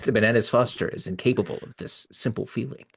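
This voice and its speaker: A man's voice: mid-range voice, quick pace, breathy edge. A man co-hosting a podcast, tossing wisecracks into casual panel banter, sometimes in a mock-solemn way. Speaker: podcast co-host.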